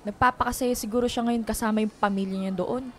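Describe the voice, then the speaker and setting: A woman's voice: on the high side, steady tone, fast, slight background noise, close to an everyday voice. A young woman playing a wounded, brooding daughter in a radio drama, plaintive and conversational, mixing in some English. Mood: bitter, wistful.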